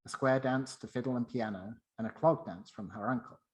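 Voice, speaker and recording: A man's voice: mid-range voice, brisk, low-quality recording. A man presenting a scripted talk and narrating a story.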